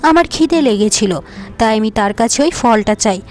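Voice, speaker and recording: A woman's voice: on the high side, expressive, normal speed, a little mellow, close to an everyday voice. A woman voicing a clever, earnest young niece in a fairy-tale cartoon, speaking persuasively.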